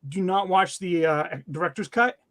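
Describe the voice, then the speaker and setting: A man co-hosting a podcast, chatting casually in a somewhat halting, hesitant way. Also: sits high, a bit bright.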